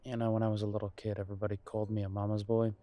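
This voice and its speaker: Actor playing a low-voiced, hesitant young boyfriend. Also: male voice, close to an everyday voice. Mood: wistful, apprehensive. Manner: hesitant, halting, sighing delivery with soft chuckles. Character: nervous, reassuring grown son visiting his mother, affectionate boyfriend. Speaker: voice actor in audio roleplay. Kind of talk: intimate audio roleplay acting.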